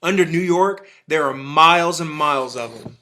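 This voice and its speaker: A man's voice: fairly high pitch, moderate pace, sharp tone. An actor playing an embittered, zealous militiaman who survived an alien invasion, in a radio drama, speaking in emphatic, folksy, clipped phrases. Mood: fervent, scheming.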